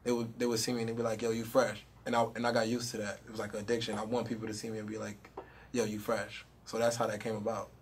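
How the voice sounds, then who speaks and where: A man's voice: lowish voice, completely flat delivery, fast, a little hiss or hum. A young man, a rapper, chatting casually in an interview with a handheld mic in a studio room, laid-back and full of "you know what I mean". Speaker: rapper guest.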